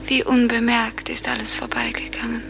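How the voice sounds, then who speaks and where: A woman's voice: fairly high pitch, level tone, moderate pace, gravelly, noisy background. An actress playing a tender young woman in love, dreamy and wistful, in a 1950s German radio drama. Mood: melancholy, resigned. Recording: 1950s radio recording under loud music.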